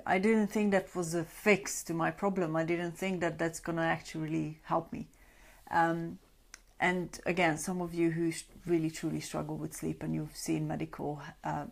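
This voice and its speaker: A woman's voice: lowish voice, gravelly, a little mellow. A woman from Hungary, a sleep coach giving a chatty live-stream talk with many fillers and greeting viewers by name. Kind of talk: Facebook Live talk to a group. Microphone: webcam or phone on a live stream.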